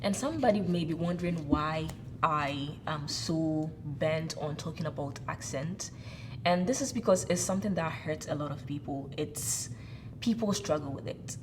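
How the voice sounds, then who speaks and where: A woman's voice: low voice, normal speed, noisy background. A woman hosting a casual interview, speaking in an earnest, encouraging way. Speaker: host.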